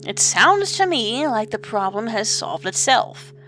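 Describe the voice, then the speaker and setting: A woman's voice: a little high, big pitch swings, bright, forceful tone, soft background music, heavily acted. Woman voicing a weary old griffin sun god. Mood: weary, exasperated. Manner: measured, sighing, authoritative.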